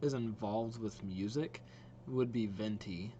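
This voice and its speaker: A young adult man hosting a reaction video with casual, expressive, informal commentary. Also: lowish voice, unhurried, slight background noise.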